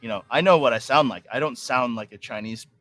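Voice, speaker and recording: A man's voice: mid-range voice, fairly quick, fairly bright tone. An American man with a General American accent, a podcast guest telling stories in casual conversation, rambling with many restarts. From United States.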